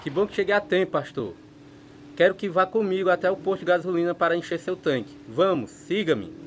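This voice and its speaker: A friendly, generous male churchgoer in a radio play. His voice is high, set against clear car noise. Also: expressive, fairly quick. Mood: friendly, urging. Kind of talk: radio drama dialogue. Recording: noisy car ambience.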